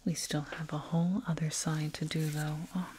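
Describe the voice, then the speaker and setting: A woman performing a whispered ASMR roleplay as a soothing, condescending alien dentist, breathy and close to a binaural microphone, repeating words rhythmically. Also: deep voice, little pitch movement, normal speed, darkish tone, slight background noise. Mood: politely appalled. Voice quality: breathy, mostly unvoiced whisper. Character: soothing, condescending female alien dentist tending a human patient.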